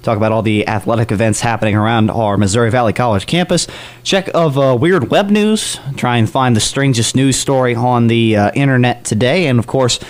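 Male college radio host, medium pitch, upbeat and chatty announcer delivery with punched openings. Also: fairly quick.

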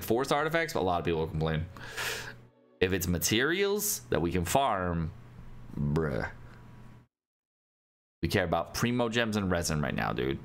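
A young adult man giving casual gaming news commentary as a streamer, informal and slangy in manner. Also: low voice. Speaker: game streamer. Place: indoor home streaming setup.

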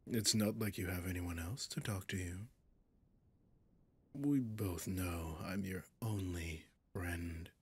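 A man playing a possessive, mocking male demon in an ASMR roleplay, seductive and sinister, with a breathy, often whispering, teasing drawl. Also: deep voice, slow pace, a little mellow, heavily acted. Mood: taunting.

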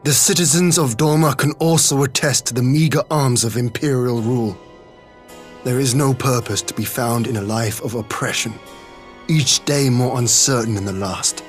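An actor playing a proud, stern young lord in a video game, formal and grave. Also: male voice, mid-range voice, normal speed, soft background music, close to an everyday voice.

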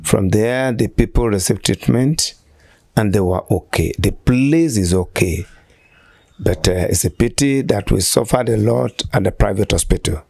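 A man in his sixties with a Nigerian accent, giving halting, deliberate answers as a church shepherd in an interview. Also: fairly low pitch, vocal fry, a little mellow, slightly rough, no room sound, studio quality. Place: small furnished room indoors, on a sofa. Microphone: handheld interview microphone held by interviewer.

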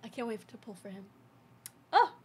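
A young adult woman, a game streamer, giving a gushing, excitable live reaction in casual chat. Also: on the high side, moderate pace, slightly rough. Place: small indoor streaming room. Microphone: desk boom microphone, headphones on. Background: game trailer music.

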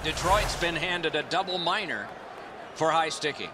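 A male play-by-play announcer calling a hockey game in brisk, clipped broadcast phrases. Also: fairly high pitch, lively intonation. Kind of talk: sports broadcast commentary. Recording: game audio under loud arena crowd noise.

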